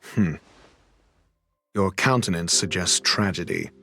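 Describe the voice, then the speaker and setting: An actor playing a courteous, cryptic detective in a video game, speaking in a formal, measured way. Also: male voice, deep voice. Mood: grave, measured. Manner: formal, measured, theatrical wording. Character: composed, eloquent male detective, courteous and cryptic. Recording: music behind the voice.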